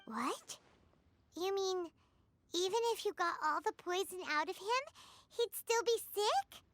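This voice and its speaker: A woman voicing a small, excitable companion creature in a video game, expressive and outspoken. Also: very high-pitched, measured pace, slight background noise, full character voice. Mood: puzzled. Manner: expressive, exclamatory. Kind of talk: scripted video game voice acting. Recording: music behind the voice.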